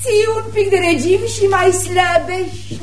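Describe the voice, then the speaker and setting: A woman's voice: extremely high pitch, roomy sound, slight background noise. An actress playing a flippant, pleasure-seeking middle-aged mother in a radio play, breezy, careless and theatrical. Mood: careless, mocking.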